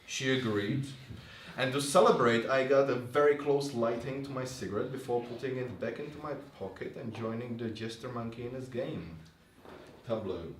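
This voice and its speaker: A man's voice: mid-range voice, moderate pace. A man speaking to an audience at a live literary reading in a bar, as reader and host. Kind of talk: reading prose fiction aloud to an audience. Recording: roomy, distant live recording with faint noise.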